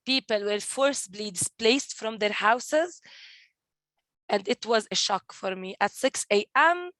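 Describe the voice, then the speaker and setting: A woman's voice: mid-range voice, animated, a little slow, fairly bright tone, no echo. Woman, 20s, Palestinian Arabic accent, earnest storytelling, stumbles and repeats words, dead dry sound. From East Jerusalem. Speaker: guest, community manager at a peace group. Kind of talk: personal storytelling in a panel talk.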